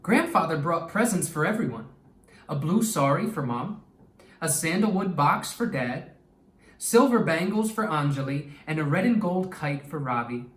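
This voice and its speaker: A man reading aloud to children as a teacher, with an expressive, deliberate delivery that draws out words. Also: fairly high pitch. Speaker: storytime reader and teacher. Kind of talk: read-aloud storytime for children. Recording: echoey room, distant mic.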